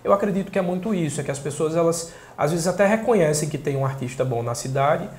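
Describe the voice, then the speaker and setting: A man in his thirties with a Pernambuco accent from northeastern Brazil, talking casually in a soft voice as an interview guest. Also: on the high side, fast talker, slight background noise. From Caruaru, northeastern Brazil. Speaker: interview guest, singer-songwriter and journalist. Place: small indoor room. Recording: echoey small room.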